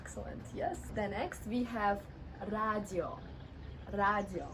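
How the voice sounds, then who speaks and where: A woman teacher with a Russian accent giving a friendly vocabulary lesson, slowly repeating words and laughing often. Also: mid-range voice, noisy background.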